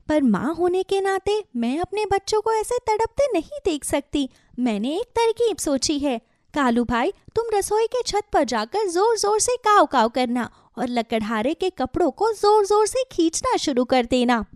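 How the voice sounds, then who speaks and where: A woman's voice: very high voice, big pitch swings, a little slow, darkish tone, dry recording, clean studio sound, exaggerated voice. A woman voicing a caring, determined mother bird in a children's cartoon, with an urgent manner. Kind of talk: Hindi children's cartoon story dialogue.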